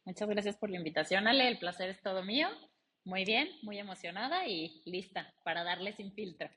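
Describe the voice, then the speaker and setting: A woman's voice: medium pitch, brisk, breathy edge. A woman with a Mexican accent, chatting animatedly and telling personal stories as an interview guest. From León, Guanajuato, Mexico. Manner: animated, chatty, quotes dialogue as she tells anecdotes. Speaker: interview guest, logistics company founder and CEO. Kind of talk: casual conversational interview storytelling.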